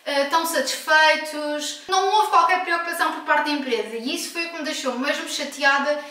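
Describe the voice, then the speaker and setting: A woman's voice: sits high, fairly quick, sharp tone. A woman from Portugal with a European Portuguese accent, talking frankly and emphatically in a casual home vlog, recorded at a distance in an echoey room at home. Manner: frank, emphatic, with self-corrections. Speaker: vlogger.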